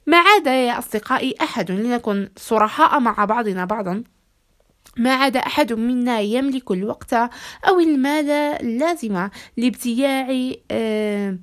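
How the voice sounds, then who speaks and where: High-voiced, slightly hoarse woman. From Algeria. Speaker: podcast host. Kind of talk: monologue addressed to listeners in formal Arabic.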